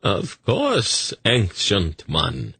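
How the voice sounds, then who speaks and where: A languid, dreamy eunuch courtier speaks in a voice lifted well above a man's usual register, as a male reader puts him on. The words stretch out slowly and softly, with a faint husk. The sound is close and dry. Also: fairly low pitch, huge pitch swings, slightly rough, no room sound, voice pushed up, exaggerated voice. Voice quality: dreamy, drawn-out delivery.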